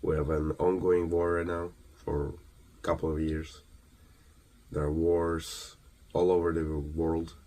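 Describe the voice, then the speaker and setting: A man from Ukraine delivers an earnest, emphatic motivational monologue, halting between phrases and posing rhetorical questions. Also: rumbling low, flat delivery, warm tone. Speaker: motivational speaker.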